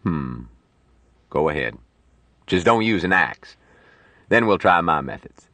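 A gruff old doctor in an audiobook, acted out by a male narrator. He is low-pitched and bossy, with curt commands and sardonic wisecracks in a lively, swinging delivery. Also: takes it slowly, no room sound, exaggerated voice. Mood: brisk, dry.

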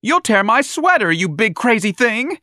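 A man voicing a flamboyant, teasing young man who mocks in a high, gleeful voice. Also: expressive, normal speed, a bit bright, no echo, studio quality, close to an everyday voice. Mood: alarmed. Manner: campy, mocking, shrieking.

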